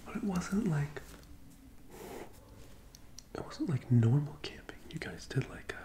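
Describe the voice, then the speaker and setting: A man doing a soft-spoken ASMR role-play as a gentle psychic medium, in a breathy, hesitant whisper close to the microphone. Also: on the high side, big pitch swings, slow. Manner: hesitant, gentle, soothing delivery.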